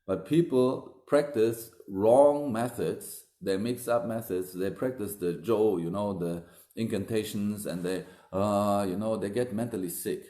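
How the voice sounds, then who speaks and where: Low dark-voiced guy, earnest teacher, echoey room, phone cam. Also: unhurried. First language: German. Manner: earnest, emphatic, repeats himself. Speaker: Daoist teacher. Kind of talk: livestream talk to viewers. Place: room indoors. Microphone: phone camera close up.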